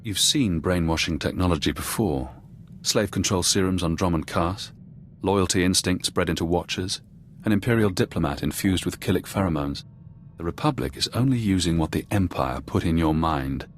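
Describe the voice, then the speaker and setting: A man voicing a calm, knowing, menacing former intelligence watcher in a video game cutscene, speaking in measured, cryptic phrases. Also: deep voice, slight background noise, natural voice. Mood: cold, knowing.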